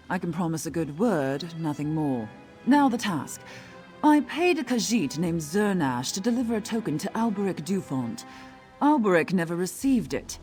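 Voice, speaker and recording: Snooty, sarcastic event organizer. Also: female voice, fairly low pitch, a little mellow. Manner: sarcastic, condescending, brisk. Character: snobbish haughty woman event organizer. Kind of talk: video game dialogue.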